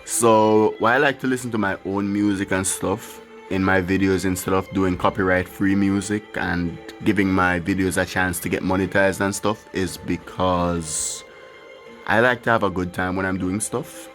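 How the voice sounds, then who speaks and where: A man with a deep, low-pitched voice and a lilt talks through a game session in a loose, wandering way. He is candid and drops the odd curse as he goes. His own music plays faintly underneath. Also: level tone, normal speed, a bit bright. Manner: rambling, candid, swears casually. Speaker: gaming channel host. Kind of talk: casual gameplay commentary. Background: his own music playing faintly.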